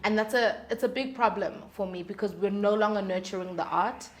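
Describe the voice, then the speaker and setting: A woman's voice: mid-range voice, fairly quick. A woman, speaking as a musician guest in candid, conversational interview storytelling. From South Africa.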